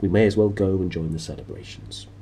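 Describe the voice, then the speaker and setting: Wise old wizard acted by a man, gentle, measured, deep, storybook reading. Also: fairly even intonation, slight background noise, natural voice. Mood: resigned. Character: calm, wise old male wizard professor.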